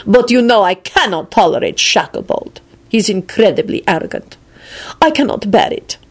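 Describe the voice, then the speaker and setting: An imperious vampire lord from a storybook, acted out by a woman, formal in his speech and full of scorn. He sounds impatient and haughty, with widely swinging pitch. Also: lively intonation, a little fast, breathy edge. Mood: haughty, scornful.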